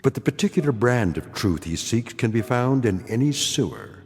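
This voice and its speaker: A man acting as a grave, ominous narrator of an eerie radio drama, speaking with deliberate weight. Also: medium pitch, very animated, a little mellow, long reverb tail. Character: grave omniscient male narrator of an eerie anthology. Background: music.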